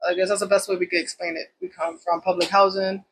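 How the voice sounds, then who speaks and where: Husky-voiced woman from Puerto Rico. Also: fairly low pitch, level tone, fast talker. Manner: chatty and loose, lots of fillers and slang. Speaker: nail salon owner. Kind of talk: casual interview storytelling.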